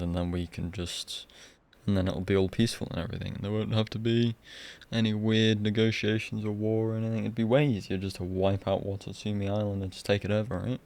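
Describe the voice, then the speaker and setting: A man's voice: lowish voice, a little mellow. A man casually commenting live on a game he is streaming, in muttered, incredulous asides. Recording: stream mic over game music. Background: game music.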